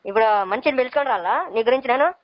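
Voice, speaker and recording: A woman's voice: a little high, expressive, rapid-fire, no echo, lightly acted. A woman playing a stern, threatening police officer on a prank phone call, questioning and scolding bossily in a Rayalaseema Telugu accent. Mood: bossy. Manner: bossy, interrogating. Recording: dull, lo-fi phone call recording.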